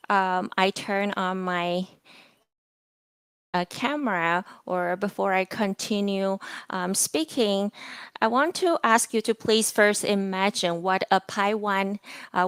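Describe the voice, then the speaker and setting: Woman lecturer, earnest, measured, frequent pauses, very clear voice, dry close sound. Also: medium pitch, dry recording. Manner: measured and earnest, pausing with fillers. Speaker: guest lecturer. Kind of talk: public talk.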